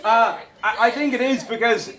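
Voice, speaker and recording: A man's voice: very high-pitched, normal speed. A man gives a humorous mock-formal debate speech in a theatrical, pompous, repetitive manner. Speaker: debater at a student society debate. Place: indoor bar at a society dinner. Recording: echoey room, lively crowd around. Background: laughing, heckling crowd.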